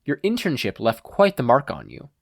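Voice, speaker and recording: A podfic reader voicing a teenage boy's wry conscience, teasing and gently lecturing him in a knowing way. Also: medium pitch, wildly swooping pitch, normal speed, creaky, dry recording, studio quality, heavily acted.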